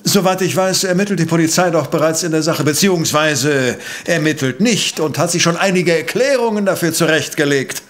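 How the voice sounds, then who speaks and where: An actor playing a brilliant, arrogant professor and amateur sleuth in a German audio drama, brusque, pompous and precise. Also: male voice, on the high side, brisk, few pauses, natural voice. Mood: dismissive, impatient.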